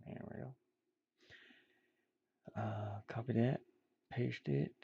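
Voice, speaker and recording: A man's voice: medium pitch, fairly even intonation, takes it slowly, vocal fry, warm tone, breathy edge, highs cut off. A man giving casual, rambling commentary while he works on game art, muttering playfully to himself and repeating words. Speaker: indie game developer streaming.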